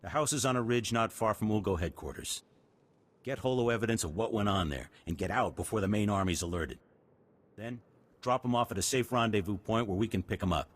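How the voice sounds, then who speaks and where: An upbeat, businesslike news chief from a video game, played by a man. The voice sits fairly low. He talks in a brisk, organised way. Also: natural voice.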